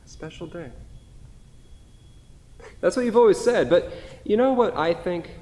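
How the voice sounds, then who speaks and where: A man's voice: high-pitched, animated, a little slow, mellow. A man playing a wistful, self-deprecating, devoted husband who reminisces conversationally in a staged play reading. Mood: wistful, tender. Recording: long hall reverb.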